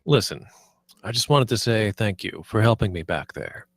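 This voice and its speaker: A man acts a pompous, nervous spaceship captain in a comedy script read. His lowish voice moves about animatedly and sounds close and dry, with an earnest comic air. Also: big pitch swings, normal speed, no room sound.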